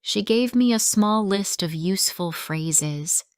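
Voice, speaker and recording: A woman reading a simple first-person story for English learners, in slow, clearly spoken sentences. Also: mid-range voice, animated, no echo, studio quality.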